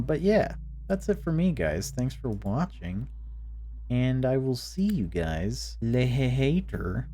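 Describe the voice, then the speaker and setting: A man's voice: mid-range voice, warm tone, a little hiss or hum. A man hosting a gaming video, reading a visual novel aloud and commenting on it casually.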